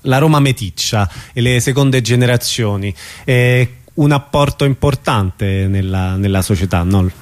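A man hosting a conversational radio interview, halting as he searches for his words. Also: medium pitch.

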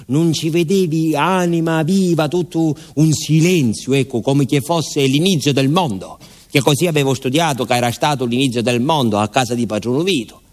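A garrulous village postman in a radio play, with a fairly high voice and a southern Italian accent. He spins the story of his youth theatrically, breaking it up with colloquial, folksy asides. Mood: wondering, wry.

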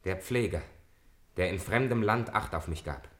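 An actor playing a grave, resolute exiled brother in a German radio drama, speaking in a solemn, measured way. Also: male voice, deep voice, expressive, fairly bright tone. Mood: solemn, quiet.

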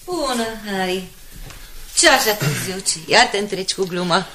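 An actress playing an excitable, status-minded middle-aged wife and mother in a radio drama, insistent and theatrical, with a breathy, husky voice. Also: medium pitch, crowd noise, natural voice. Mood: reproachful. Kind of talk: 1980s Romanian radio drama acting.

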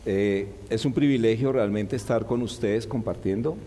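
Slightly hoarse preacher in a reverberant hall. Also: male voice, lowish voice, warm tone, a little hiss or hum. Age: middle-aged. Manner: didactic, repeats and lists key points in measured phrases. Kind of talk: expository Bible sermon. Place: large church hall.

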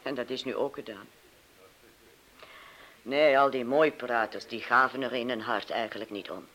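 A man's voice: medium pitch, steady tone, moderate pace, sharp tone, natural voice, poor audio. A young man played by a male actor in a radio play, chatty and restless. He is disillusioned with everything, and he questions and cajoles as he talks. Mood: world-weary, scornful.

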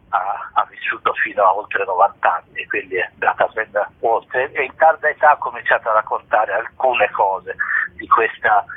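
A man's voice: medium pitch, slightly rough. A man being interviewed as a theatre director, talking spontaneously in a hesitant way with repeated words and restarts. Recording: muffled, narrow-band telephone-like sound.

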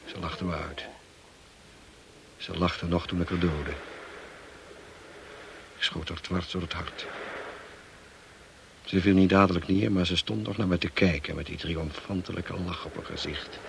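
An actor playing a tormented, wealthy husband in a radio drama, grave and intense. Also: male voice, sits low, fairly even intonation, a little slow, vocal fry, pauses often. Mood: anguished, confessing. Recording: noisy old radio play recording.

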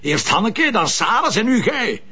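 An actor playing a headstrong, defensive police commissioner in a crime drama, with a Flemish accent and a colloquial, insistent way of talking. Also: male voice, high voice, big pitch swings, normal speed, sharp tone, breathy edge, muffled recording, full character voice. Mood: exasperated. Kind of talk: naturalistic TV crime drama dialogue.

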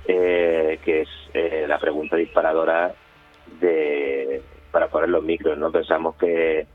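Guy from spain on a phone line, really deep voice, music behind, halting interview answers. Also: flat delivery. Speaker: radio interview guest, community radio member. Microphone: phone call.

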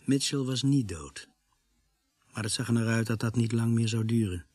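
An actor in a Dutch radio play playing a husky first-person narrator of a crime story, measured and scripted. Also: male voice, medium pitch, darkish tone, no room sound, studio quality, close to an everyday voice. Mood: measured, tense.

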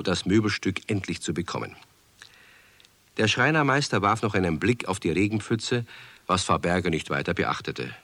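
A man narrating a children's radio play as a calm, wry storyteller. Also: on the low side, no echo, full character voice.

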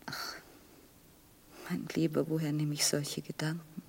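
A woman playing a tender, worried middle-aged mother in a radio drama, gentle and musing, with a breathy, half-whispered voice. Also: sits low, monotone, a little slow, heavily acted.

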